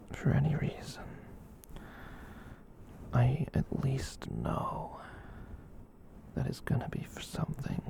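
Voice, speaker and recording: A performer voicing a gentle, kind giant catboy in a soft breathy whisper, rambling reassuringly in an ASMR roleplay. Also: mid-range voice, exaggerated voice. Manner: rambling, reassuring, slow with long pauses.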